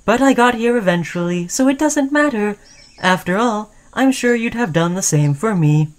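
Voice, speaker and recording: Female robot companion, acted by a woman, friendly and devoted, chatty ASMR roleplay, mid-pitched. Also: animated, moderate pace, natural voice. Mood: fond, earnest. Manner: chatty, soothing, addressing listener as human friend. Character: friendly, caring, obsessively devoted sentient robot crewmate. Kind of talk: scripted ASMR roleplay addressed to the listener.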